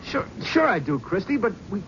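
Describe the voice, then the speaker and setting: A cynical young agency gofer. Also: male voice, high voice, sing-song, normal speed, fairly bright tone. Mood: brusque. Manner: brusque, flippant, wisecracking. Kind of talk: 1970s radio drama acting with narration. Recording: muffled old radio broadcast, faint hiss.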